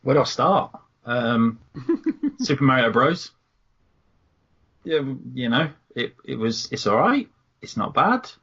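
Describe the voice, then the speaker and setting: A man's voice: mid-range voice, very animated, takes it slowly, long pauses, fairly bright tone, husky. A British man in a casual panel chat, hesitant and full of ums. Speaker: panel regular. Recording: dull lo-fi audio.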